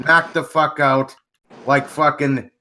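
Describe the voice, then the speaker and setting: A man's voice: a little high, flat delivery, takes it slowly, pushed and bright. A man calling in to a podcast, talking casually in a rambling, emphatic way full of swearing. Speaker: caller. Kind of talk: casual call-in chat.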